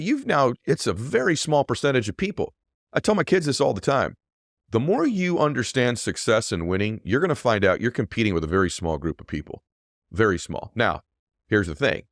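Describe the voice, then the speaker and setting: A middle-aged man with a deep voice, hosting a show and giving an emphatic motivational monologue that often asks "right?". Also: creaky, no room sound, clean studio sound. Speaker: podcast host.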